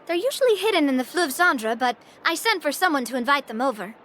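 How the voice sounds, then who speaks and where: A woman voicing a confident young group leader in a video game, brisk and assured. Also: sits high, sing-song, a bit bright, slight background noise.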